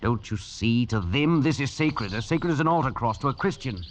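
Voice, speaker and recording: An actor playing a stern, principled expedition leader, reproving and commanding, in old-time radio drama style. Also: male voice, mid-range voice, animated, normal speed, natural voice. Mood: exasperated, scolding. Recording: dull, noisy vintage radio recording.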